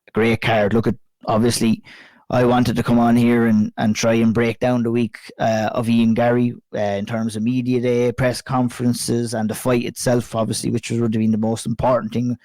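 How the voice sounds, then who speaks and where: An Irish man hosting a casual podcast chat, a bit hoarse and croaky from a flu, chatty and sweary. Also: medium pitch, little pitch movement, moderate pace, a little mellow, dry recording. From Ireland. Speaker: podcast host.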